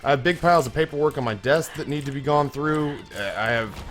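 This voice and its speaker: A slightly hoarse young man hosting a gaming channel, telling a long, self-mocking story with many restarts while he plays. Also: on the high side, brisk, a bit bright, soft background music. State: a little hoarse. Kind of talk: casual let's-play commentary.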